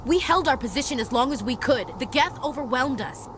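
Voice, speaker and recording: An actress playing an earnest, dutiful young gunnery chief in a video game. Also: female voice, high-pitched, expressive, a little fast, noisy background. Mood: urgent, shaken.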